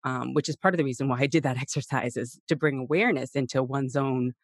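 A man hosting a podcast interview, feeling his way through questions in a musing, roundabout, halting manner. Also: mid-range voice, fairly even intonation, no echo, studio quality. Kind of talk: conversational interviewing.

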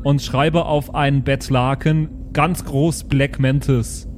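Male tabletop player, average pitch, casual improvised banter, slight noise and ambience around the voice. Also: steady tone, takes it slowly, a little mellow. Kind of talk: casual improvised role-play chat. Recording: background noise and room ambience.

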